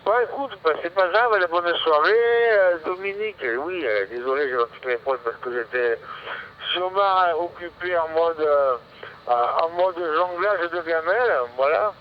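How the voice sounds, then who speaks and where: A man with a slightly high voice comes through a dull radio link with faint noise behind him. He chats casually and rambles, often doubling back over his phrases. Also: normal speed. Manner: rambling, repeats himself. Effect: voice heard over radio. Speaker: amateur radio operator. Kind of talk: casual radio contact chat.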